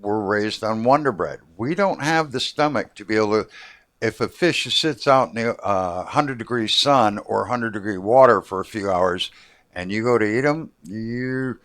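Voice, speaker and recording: A man's voice: on the low side, normal speed, a bit bright. A man hosting a fishing show, chatting casually and joking in a rambling, colloquial way. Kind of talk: casual chat.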